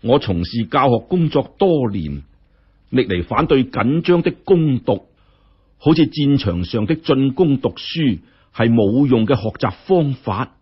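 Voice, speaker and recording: Man as a mild, husky-voiced male tutor. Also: mid-range voice, expressive, measured pace, dull audio. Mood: calm, formal. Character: mild, encouraging male tutor, calm and formal.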